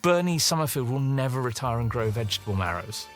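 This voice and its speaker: A man's voice: mid-range voice, lively intonation, quick pace. A man speaking wryly in declarative statements in a documentary interview. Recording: music playing behind the voice.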